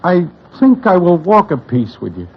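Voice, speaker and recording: A high-pitched New sidekick, wary and loyal, with very swooping intonation. He tells his tale in stiff, formal wording, in old radio drama fashion, on a muffled recording. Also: moderate pace, breathy edge, exaggerated voice. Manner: formal wording without contractions, deadpan storytelling. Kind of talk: vintage radio drama acting and narration.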